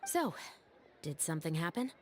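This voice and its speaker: A woman voicing a dry, by-the-book female patrol captain in a video game, wry and measured in manner. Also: sits low, big pitch swings, a little hiss or hum. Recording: game audio with faint music. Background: soft game music.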